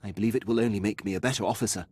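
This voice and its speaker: An actor playing a dutiful, precise Imperial officer in a video game, speaking formally and deferentially. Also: male voice, fairly low pitch, fairly even intonation, racing, darkish tone, breathy edge. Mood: earnest, dutiful.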